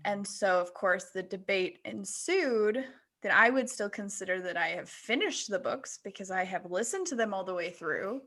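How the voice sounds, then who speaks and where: Bright-voiced woman, medium pitch. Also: animated, moderate pace. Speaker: podcast co-host. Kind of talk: casual anecdote telling.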